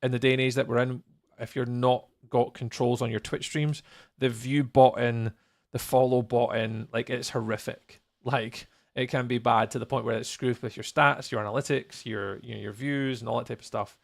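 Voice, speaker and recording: A man's voice: mid-range voice, steady tone, moderate pace, vocal fry. A man chatting casually on a gaming livestream, thinking aloud in a playful, rambling way. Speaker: live streamer, host.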